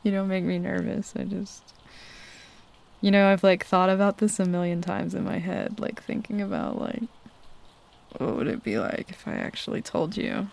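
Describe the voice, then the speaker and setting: A woman playing a shy, smitten young tomboy roommate in an intimate ASMR roleplay, halting and flustered as she talks. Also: medium pitch, vocal fry, darkish tone, close to an everyday voice. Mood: flustered, teasing. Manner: halting, hesitant, flustered with nervous chuckles. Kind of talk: ASMR roleplay, intimate one-sided dialogue. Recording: faint rain noise behind close voice. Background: rain falling.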